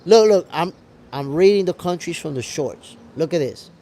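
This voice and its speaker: An American man with a gravelly voice hosting a casual livestream, talking to viewers in an animated, slangy way. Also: a little high, moderate pace. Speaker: host of a boxing show livestream, reading viewer comments. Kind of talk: casual livestream chat with audience.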